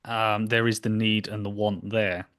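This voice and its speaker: Low-pitched young man, hesitant talker. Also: level tone, measured pace, fairly bright tone, clean tone. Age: young adult. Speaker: podcast host. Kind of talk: casual interview chat. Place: small home room, indoors. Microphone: computer mic on a video call.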